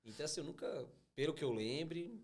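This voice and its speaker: Fast-talking gravelly man consultant. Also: on the high side, animated, a little mellow. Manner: fast, explanatory, frequent restarts. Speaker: financial consultant, guest. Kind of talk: casual interview chat.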